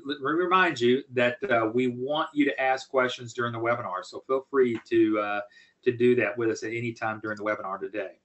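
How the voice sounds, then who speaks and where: A man's voice: medium pitch, fairly bright tone. A man hosting a business webinar in an informal, folksy way, often rephrasing himself as he presents. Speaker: webinar host, business coach.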